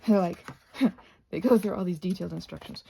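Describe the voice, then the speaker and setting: A woman's voice: on the low side, normal speed, gravelly, mellow, slight background noise. A North American woman chatting casually while crafting, playful and scatterbrained, thinking aloud and often trailing off into murmurs. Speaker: craft and ASMR vlogger.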